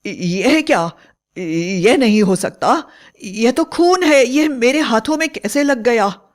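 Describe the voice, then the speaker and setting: A woman's voice: fairly high pitch, expressive, slow pace, voice pushed up. A woman voicing an anxious, devoted, trusting young husband in a storybook tale, earnest and emphatic. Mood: horrified. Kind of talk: dramatized storybook acting.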